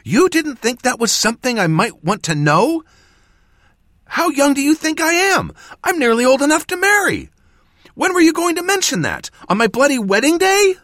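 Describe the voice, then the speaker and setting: A man voicing a feisty, indignant teenage girl apprentice mage in an audiobook, sharp and impatient. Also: medium pitch, sing-song, moderate pace, hardly any pauses, no echo, clean studio sound, pitched above the performer's own voice. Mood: furious. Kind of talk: audiobook character voice.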